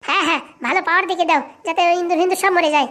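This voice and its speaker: A performer voicing a scheming, sharp-tongued mother in a comedy cartoon, pushy and scornful. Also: very high-pitched, racing. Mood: brisk, haggling.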